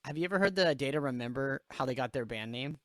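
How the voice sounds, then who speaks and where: A man's voice: medium pitch, expressive, quick pace, a bit bright, no echo, clean studio sound. A man in his late twenties hosting a podcast, telling loose stories in casual chat, swearing freely and laughing at his own jokes. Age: late 20s. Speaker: podcast host.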